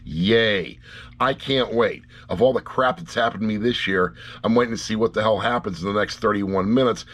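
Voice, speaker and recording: A man's voice: fairly low pitch, moderate pace, a bit bright. A middle-aged man giving a casual, rambling review to camera in a blunt, wry way, often repeating phrases for emphasis. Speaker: audiobook reviewer.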